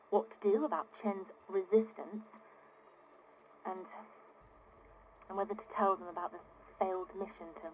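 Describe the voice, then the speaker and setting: An actress playing an exasperated, earnest and privileged founder of an ocean city in a video game audio diary, speaking articulately and emphatically. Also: female voice, medium pitch, a little mellow, a little hiss or hum, natural voice, low-quality recording. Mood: weary, disillusioned. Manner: indignant, articulate, emphatic, with weary sighs.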